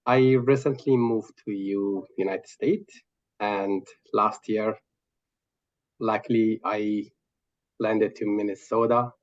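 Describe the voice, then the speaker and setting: A man with an Afghan accent, introducing himself on a video call, halting with many fillers. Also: on the low side, fairly even intonation. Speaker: committee member. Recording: muffled video call audio.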